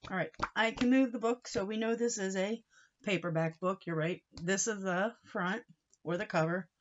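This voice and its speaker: A woman's voice: medium pitch, normal speed, lo-fi sound, a little hiss or hum. A woman reading a picture book aloud for young children, explaining as she goes and putting questions to her listeners. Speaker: read-aloud teacher.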